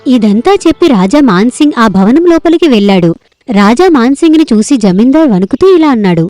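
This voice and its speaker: A woman narrates a story from a script in a high, very animated voice. Her tone is mellow and rounded, and light music plays behind her. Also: brisk.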